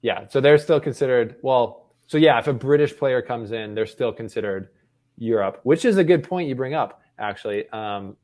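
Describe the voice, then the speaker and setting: A man's voice: medium pitch, animated, moderate pace, a little mellow. Upbeat man, casual sports co-host. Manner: slangy, upbeat.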